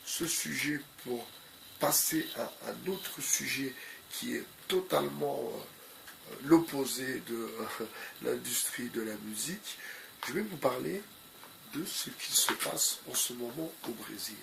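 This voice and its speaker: Man, head cold, slow hesitant delivery, gravelly, noisy background. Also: mid-range voice, fairly bright tone. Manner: hesitant, repeats phrases, many fillers. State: has a cold. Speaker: video commentator. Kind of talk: unscripted spoken commentary.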